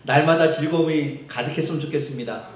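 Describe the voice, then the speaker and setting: A male pastor from Seoul with a Seoul accent, preaching a sermon in a conversational way with filler pauses. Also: on the high side, fairly even intonation. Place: church sanctuary. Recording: echoey church hall.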